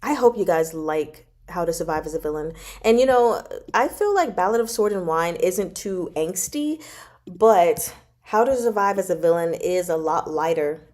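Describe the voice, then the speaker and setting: A woman chatting casually as a livestream host, informal and expressive, drawing out words for emphasis as she answers viewers. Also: fairly low pitch, moderate pace. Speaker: host of a book club livestream. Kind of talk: casual chat, reading and answering viewer comments.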